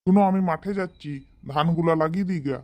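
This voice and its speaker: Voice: mid-range voice, fast, a little mellow, natural voice. A performer voicing a fond, hard-working village farmer father in a comedy cartoon. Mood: matter-of-fact.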